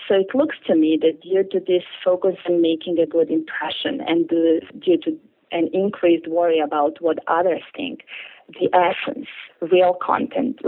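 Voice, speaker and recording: A woman, carefully reading her own letter aloud to a podcast host over the phone. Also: lowish voice. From Serbia. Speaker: listener reading her email. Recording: tinny phone line.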